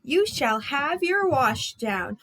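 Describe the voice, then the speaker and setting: A woman voicing a stern, authoritative gentleman railway boss with a formal, measured manner in a children's storybook. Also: sits high, big pitch swings, pitched above the performer's own voice, full character voice. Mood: stern, approving. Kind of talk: children's storybook read-aloud.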